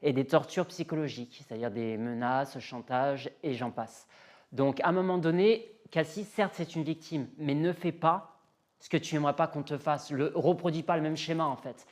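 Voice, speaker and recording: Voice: mid-range voice, normal speed. A self-assured interview guest from the south of France, talking candidly and emphatically and repeating phrases for effect. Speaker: guest, music artist.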